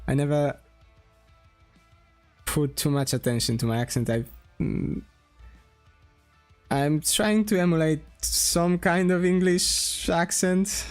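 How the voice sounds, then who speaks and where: A man from Poland with a Polish accent, talking casually as he draws on a stream, slowly thinking aloud with pauses and restarts. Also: on the high side, steady tone, soft background music. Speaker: streamer and game developer. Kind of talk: casual drawing stream talk.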